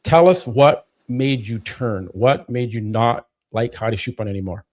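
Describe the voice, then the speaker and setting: A man's voice: mid-range voice, unhurried. Chatty man hosting a live stream. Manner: relaxed, chatty, lots of "you know" and "right?". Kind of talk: casual live-stream chat.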